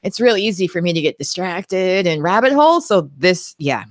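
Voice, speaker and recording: A woman hosting an interview show, chatting casually and adding quick affirmations. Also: lowish voice, big pitch swings, no room sound, clean studio sound.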